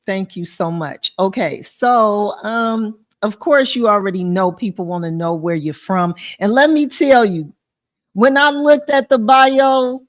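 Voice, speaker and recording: A woman's voice: medium pitch, unhurried. A woman with an American accent hosting a casual interview, chatty and informal with a joking touch. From Flint, Michigan, USA. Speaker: show host, interviewer.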